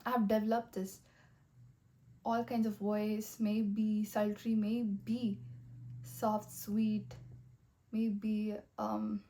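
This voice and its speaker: A young woman talking in a rambling, earnest way, full of fillers, with a soft voice that has a slight husky edge. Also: medium pitch. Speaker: vlogger. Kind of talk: casual motivational monologue.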